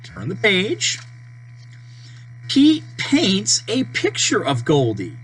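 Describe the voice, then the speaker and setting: A person reading a children's storybook aloud, with deliberate, simple phrasing and page-turn prompts. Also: medium pitch, low-quality recording. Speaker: storybook reader. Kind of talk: children's read-aloud narration. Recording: steady mains hum behind voice.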